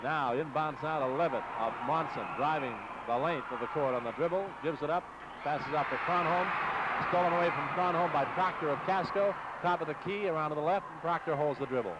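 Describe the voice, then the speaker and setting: An American man doing live radio basketball play-by-play in clipped, rapid-fire short phrases, on an old AM broadcast. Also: a little high. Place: basketball game in a gym. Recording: muffled noisy 1960s AM radio broadcast.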